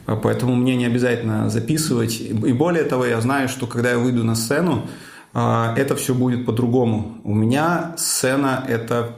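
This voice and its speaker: A man, a conference speaker and cloud architect, answering interview questions thoughtfully, with many fillers and pauses. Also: mid-range voice, fairly even intonation, vocal fry, echoey room.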